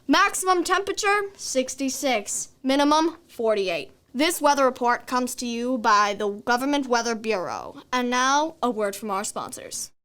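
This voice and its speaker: A woman playing a measured radio weather announcer, reading a scripted bulletin. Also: fairly high pitch, big pitch swings, fairly bright tone, smooth. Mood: matter-of-fact.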